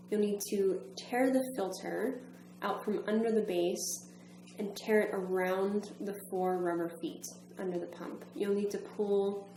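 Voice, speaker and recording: Female instructor for a how-to training film, methodical step-by-step delivery, medium pitch, roomy echo with faint hum. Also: warm tone. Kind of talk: instructional demonstration narration.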